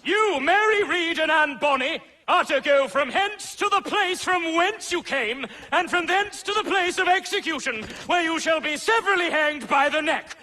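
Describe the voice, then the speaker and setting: Stern colonial judge, game cutscene, very high voice, sharp and forceful, pompous. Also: male voice, expressive, moderate pace, heavily acted. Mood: pompous, severe. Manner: imperious, formal, declamatory. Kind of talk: theatrical game courtroom acting.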